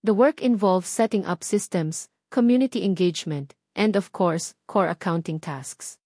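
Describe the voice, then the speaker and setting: A woman's voice: fairly low pitch, a little slow, warm tone, dry recording, studio quality. A woman voicing a formal, businesslike female HR caller in an audio story.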